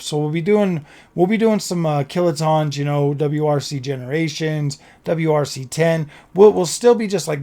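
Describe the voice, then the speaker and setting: A man talking casually to his viewers as a gaming content creator, slightly hoarse, rambling and repeating phrases in an earnest, enthusiastic way. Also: a little high, darkish tone. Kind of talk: casual vlog talk to viewers.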